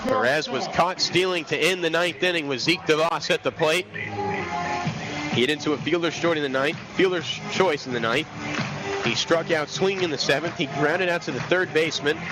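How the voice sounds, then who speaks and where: A man's voice: fairly high pitch, poor audio. A young man calling live baseball play-by-play for radio, switching between clipped recaps of the count and flowing bits of detail. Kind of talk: live radio sports commentary. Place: outdoor baseball stadium broadcast booth. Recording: live outdoor broadcast with loud ballpark noise. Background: loud stadium ambience.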